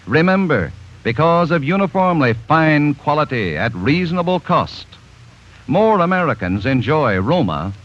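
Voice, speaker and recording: A man reading a sponsor announcement on a 1940s radio program. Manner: smooth, lilting pitch, emphatic. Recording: lo-fi old radio broadcast.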